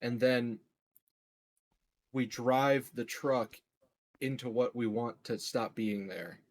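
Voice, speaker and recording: A man joking casually as a player in a tabletop roleplaying game, dropping deadpan quips. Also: medium pitch, takes it slowly. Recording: faint background noise and clicks.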